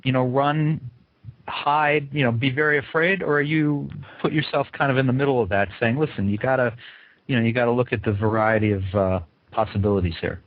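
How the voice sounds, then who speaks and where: A man with a husky voice hosting an interview as he introduces and questions his guest. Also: mid-range voice, brisk, no room sound, narrow, tinny audio. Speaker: interview host.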